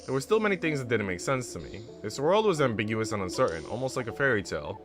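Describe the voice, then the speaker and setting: A man's voice: mid-range voice, big pitch swings, quick pace, close to an everyday voice. Visual novel heroine narrating read by a man, reflective and unsure, varied intonation, music underneath. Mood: reflective, uncertain.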